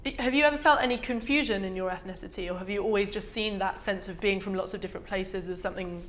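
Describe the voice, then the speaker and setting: Young woman interviewing, mid-pitched. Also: fast, fairly bright tone, slight background noise. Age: young adult. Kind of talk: conversational interview questioning. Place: indoor photo studio, seated interview.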